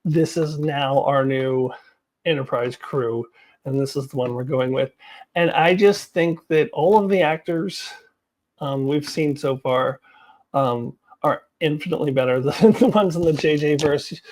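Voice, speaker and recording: Lady vlogger w a lowish voice, chatting unscripted, strong opinions, leans hard on her words. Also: animated, measured pace, darkish tone. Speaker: YouTube host giving opinions. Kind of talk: casual unscripted commentary.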